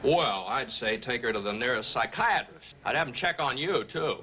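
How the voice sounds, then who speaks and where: An actor playing a hard-headed retired police detective in a 1950s film drama, dry, blunt and conversational. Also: male voice, mid-range voice, lively intonation, normal speed, lo-fi sound, natural voice. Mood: skeptical. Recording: old film soundtrack, clear background noise.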